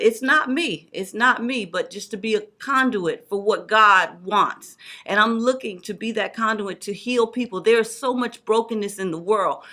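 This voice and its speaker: A woman's voice: medium pitch, sharp tone. A woman in her forties giving personal testimony as an evangelist, with emphatic, repeated religious phrasing. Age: 40s. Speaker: guest evangelist and student.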